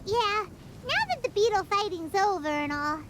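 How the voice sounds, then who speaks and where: Very high, cheeky little sprite. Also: female voice, lively intonation, normal speed, very noisy. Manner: talks about herself in third person, teasing. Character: tiny sassy floating companion sprite, cheeky. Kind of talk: video game voice acting. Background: game music.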